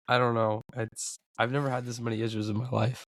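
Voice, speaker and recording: A man's voice: medium pitch, fairly quick, dry recording. A man hosting a podcast in a casual chat, his voice worn from losing it for a week. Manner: relaxed, chatty, laughs easily. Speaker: podcast host.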